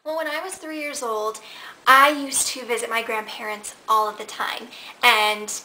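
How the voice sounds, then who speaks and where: A woman's voice: on the high side, normal speed, slight background noise, rough recording. A woman in her twenties telling stories in a casual question-and-answer vlog, talking from her living room to a camera in front of her. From Nebraska. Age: 20s. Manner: chatty and animated, gestures with hands while telling stories. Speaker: vlogger. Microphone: camera in front at desk distance.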